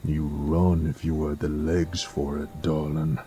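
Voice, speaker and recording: A man playing a gruff, cocky werewolf bounty hunter in a Western audio roleplay, drawling in an American Southern accent with sardonic taunts. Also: extremely low pitch, level tone, unhurried, over music. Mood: cocky, teasing. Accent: American Southern drawl, Western style.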